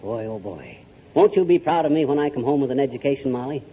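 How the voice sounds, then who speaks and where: Man playing a blustery husband, wildly swooping pitch. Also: animated, quick pace, lightly acted. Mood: proudly boastful. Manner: folksy, boastful, exclaiming. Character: blustery, boastful middle-aged husband eager for night school. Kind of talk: 1940s radio comedy acting. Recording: noisy 1940s radio recording, audience behind.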